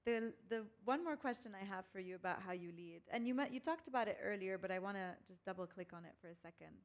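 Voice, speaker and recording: A woman's voice: medium pitch, animated, quick pace. A woman hosting a fireside chat, interviewing conversationally and reflectively, paraphrasing as she works toward her questions. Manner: reflective, paraphrases before asking.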